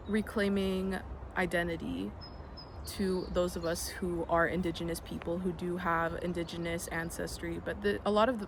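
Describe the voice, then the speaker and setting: Earnest young vlogger, noisy background. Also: mid-range voice. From United States. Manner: earnest, reflective, with frequent ums and casual swearing. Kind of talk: reflective personal vlog. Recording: noisy background with birds and passing vehicles.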